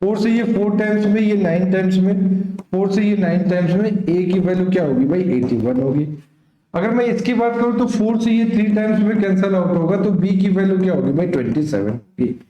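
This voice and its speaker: Indian guy teaching math class, soft mellow tone, really echoey room, keeps checking if students get it. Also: high voice, fairly quick, highs cut off. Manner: instructive, checks understanding with frequent short questions. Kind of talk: live exam-prep lesson, working through problems.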